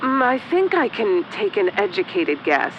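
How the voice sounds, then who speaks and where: A woman playing an earnest expert in a comedic radio drama, explaining things deliberately and gravely. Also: medium pitch, sing-song, a little hiss or hum, lightly acted. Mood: mock gravity. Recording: dull sound with faint vehicle-like ambience.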